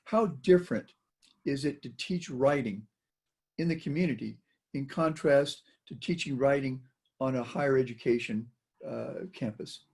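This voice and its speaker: Husky older male moderator. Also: mid-range voice, a little mellow. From Southern United States. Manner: halting, relays questions. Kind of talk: forum Q&A moderating.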